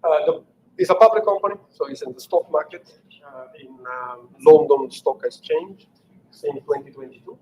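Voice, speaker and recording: A man's voice: fairly high pitch, lively intonation, moderate pace, mellow, low-quality recording. A middle-aged man from Italy with an Italian accent, giving an unscripted technical presentation with many fillers and restarts. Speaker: engineering manager giving a technical talk.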